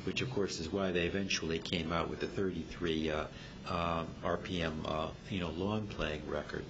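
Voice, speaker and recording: A man with a very low voice gives an informal talk, feeling his way hesitantly through technical explanations. The recording is dull and amateur, with clear noise and a low rumble underneath. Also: fairly even intonation. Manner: halting, hesitant, explanatory delivery. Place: club meeting room.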